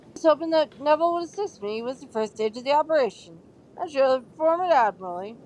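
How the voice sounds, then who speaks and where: A woman's voice: high-pitched, lively intonation, fast, a little mellow, a little hiss or hum, poor audio. A woman playing a courteous, encouraging male professor instructing a class in an audio drama. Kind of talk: fan audio drama acting.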